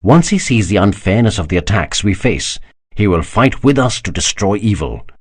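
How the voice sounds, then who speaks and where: A man's voice: on the low side, sing-song, moderate pace, husky. A man reading a proud, devout emperor who speaks with solemn courtesy and rising passion. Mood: measured. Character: proud emperor, older man, fervent believer.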